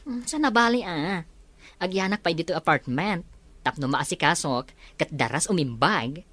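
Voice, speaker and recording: A woman's voice: lowish voice, lively intonation, normal speed, fairly bright tone, no echo. A woman acting a caring, level-headed friend in an audio drama. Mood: reassuring.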